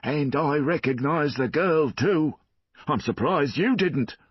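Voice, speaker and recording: A wheedling, scheming pauper pleads in a high, husky whine, as a man puts him on. His pitch rises and falls widely. The recording is dull and dry. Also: expressive, takes it slowly, no room sound, heavily acted. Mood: insistent. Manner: whining, pleading. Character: fawning scheming poor middle-aged man.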